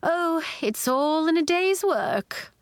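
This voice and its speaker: A woman voicing a grand, theatrical, fussy lady bird keeper in a storybook, with gushing, drawn-out exclamations. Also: extremely high pitch, expressive, no echo, voice pushed up, heavily acted. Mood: gracious.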